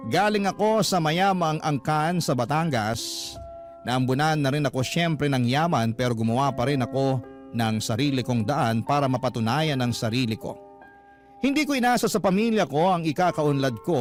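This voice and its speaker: A man's voice: mid-range voice, natural voice. An actor playing a sincere, wistful Filipino man confiding his love story as first-person narration in a radio drama. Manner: confiding, earnest.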